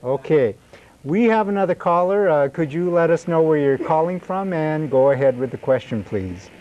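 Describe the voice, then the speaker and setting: A man's voice: fairly high pitch, expressive, normal speed, a little mellow. A man hosting a call-in show, taking callers in a friendly way. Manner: friendly, repeats call-in prompts. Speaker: host. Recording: dull lo-fi broadcast recording.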